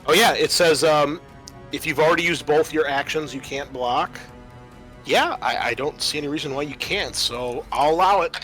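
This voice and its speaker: Bright-voiced man running a tabletop game. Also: a little high, moderate pace, soft background music, rough recording. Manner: informal, swears casually. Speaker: game master. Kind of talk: running a tabletop roleplaying game, casual rules explanation.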